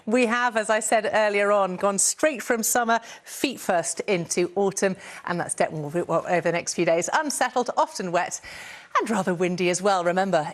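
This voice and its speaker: A woman presenting the weather forecast in a chatty, jokey broadcast style with asides to her co-presenter. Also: mid-range voice. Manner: warm, jokey, chatty delivery.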